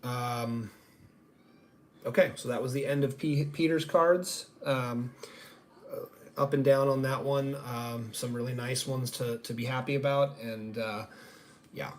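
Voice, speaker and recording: A man's voice: medium pitch, measured pace. A man with a slightly nasal voice, hosting a casual live-stream card reveal in a conversational, self-deprecating way. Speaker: sports card grading reveal host. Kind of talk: casual live-stream commentary on trading cards. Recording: noticeable steady background noise.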